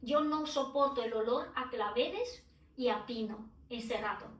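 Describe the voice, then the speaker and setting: A woman's voice: on the high side, moderate pace, a bit bright. A middle-aged woman from Romania, a guest in a podcast conversation, talking in a reflective way with many restarts and fillers. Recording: echoey room, distant mic.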